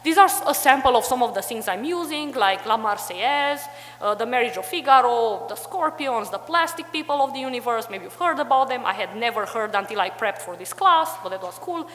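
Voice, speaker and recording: A woman's voice: a little high, expressive, brisk, fairly bright tone, big-room sound, slight background noise, poor audio. A young woman from Transylvania giving a chatty conference talk about teaching history, full of fillers. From Romania, Transylvania. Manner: chatty, many fillers like "like" and "you know". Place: hotel conference room.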